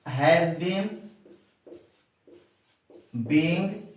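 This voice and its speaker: Indian guy teaching grammar, super slow n emphatic, really echoey room, mic kinda far off. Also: a little high, darkish tone, slight background noise. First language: Hindi. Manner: deliberate, emphatic, repeatedly addresses listeners as friends. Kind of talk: instructional grammar lesson to camera. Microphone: distant microphone.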